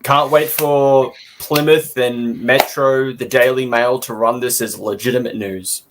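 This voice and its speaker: A man's voice: mid-range voice, steady tone, measured pace, background noise. A man co-hosting a podcast, bantering casually in a joking, sarcastic way. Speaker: podcast co-host.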